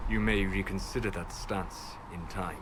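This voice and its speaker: A scholarly mage, played by a man in a fantasy game. His fairly low voice is calm, measured and dryly precise, with clear noisy ambience behind it. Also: lightly acted. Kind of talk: dramatic fantasy game acting.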